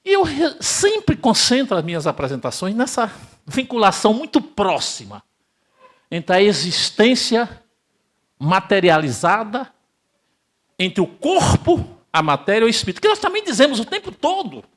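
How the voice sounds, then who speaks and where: Older lecturer, lively pitch swings, somewhat high voice, emphatic, rhetorical, spiritist talk in a hall. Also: male voice, moderate pace. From Brazil. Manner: emphatic, rhetorical questions, swings between loud and hushed. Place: spiritist center hall, live audience.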